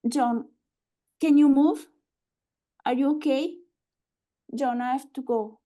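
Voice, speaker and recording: A woman acting a frantic, sharp-tongued woman in a live screenplay table read, halting and repeating herself under stress. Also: high voice. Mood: pleading, urgent.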